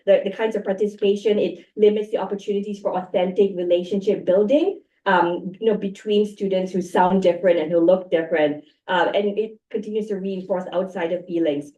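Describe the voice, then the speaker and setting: A woman's voice: mid-range voice, steady tone, brisk, few pauses, dark tone, highs cut off. A woman in her thirties speaking as a panelist in an academic discussion, thoughtful and full of fillers and restarts. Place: university event room. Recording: echoey room, far from mic.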